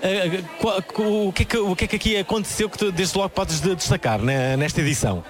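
A man hosting a live radio broadcast from an outdoor book fair, chatty and halting, with many fillers. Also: sits high. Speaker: radio host.

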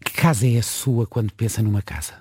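A man's voice: fairly low pitch, brisk, darkish tone, slightly rough, no room sound, clean studio sound. A man with a European Portuguese accent interviewing a guest, asking probing, lightly teasing questions. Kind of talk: conversational interview.

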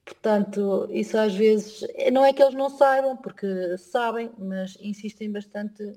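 A woman's voice: medium pitch, fairly even intonation, normal speed, warm tone. A woman with a European Portuguese accent giving conversational interview answers, thinking aloud in short, hesitant phrases. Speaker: interview guest, emigrant living in Germany.

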